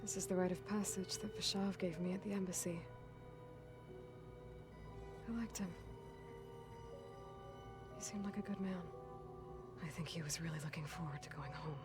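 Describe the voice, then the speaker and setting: A young woman warrior from a video game , voiced by an actress, speaking in a lowish voice over prominent music. She is guarded and introspective, thinking aloud with quiet resolve and a sense of burden. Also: steady tone, a little fast, long pauses, lightly acted. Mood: thoughtful. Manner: earnest, introspective. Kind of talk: video game voice acting, musing to herself.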